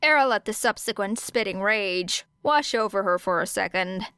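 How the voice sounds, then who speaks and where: A woman's voice: medium pitch, expressive, normal speed, pushed and bright, clean tone, no room sound, clean studio sound. A woman reading scripted fiction as an audiobook narrator.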